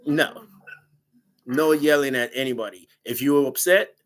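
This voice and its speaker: Guy with a big loud voice telling a story about his ex, animated, laughs a lot. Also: medium pitch, a little slow. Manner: animated, laughing, quotes himself in raised voice. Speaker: dating show guest. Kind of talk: casual storytelling chat.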